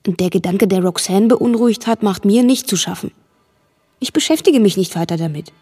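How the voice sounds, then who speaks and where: An actress playing a nervous, watchful girl, narrating a radio drama in measured present tense with a husky voice. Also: medium pitch, warm tone, soft background music, close to an everyday voice. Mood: watchful, uneasy.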